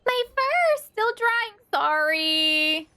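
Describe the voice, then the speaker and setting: A woman's voice: very high voice, very animated, takes it slowly, sharp tone, full character voice. A woman voicing a tiny, cute creature friend from a game, chirpy, polite and theatrical, with slight noise behind her. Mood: sheepish.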